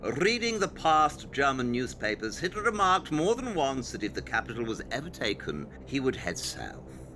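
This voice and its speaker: A man's voice: medium pitch, huge pitch swings, normal speed, few pauses, bright, forceful tone, slight background noise. An actor playing a brilliant, self-assured detective in an audio drama, precise and dryly condescending as he lays out his deductions. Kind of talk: audio drama acting, expository dialogue.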